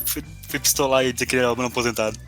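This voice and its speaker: A man's voice: medium pitch, fairly even intonation, very fast, a bit bright, soft background music. A man with a Brazilian accent in casual podcast banter, teasing his co-host with mock questions. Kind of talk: casual chat looking back on a role-playing campaign.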